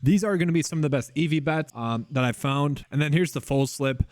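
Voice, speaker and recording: Fast-talking man losing his voice. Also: a little high, darkish tone, no echo. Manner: fast, conversational, addresses viewers as guys. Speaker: sports betting analyst host. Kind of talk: casual screen-share analysis.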